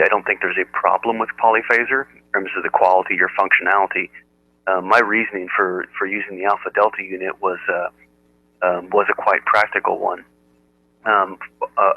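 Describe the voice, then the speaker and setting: A man chatting casually over amateur radio, explaining technical things in a halting, methodical way. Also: sits low, no room sound. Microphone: radio transceiver audio output. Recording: narrow muffled radio audio, dry.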